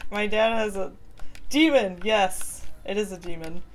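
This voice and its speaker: A woman streaming a game from home, chatting with viewers in a relaxed, giggly way. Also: mid-range voice, slow. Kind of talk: casual chat while gaming. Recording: faint keyboard typing behind.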